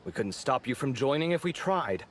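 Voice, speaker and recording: A man's voice: mid-range voice, sing-song, normal speed, a little hiss or hum, close to an everyday voice. A man voicing a dramatic, sociable young male architect in a video game, theatrical, sarcastic and easily exasperated. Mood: warm, eager. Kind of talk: video game character acting.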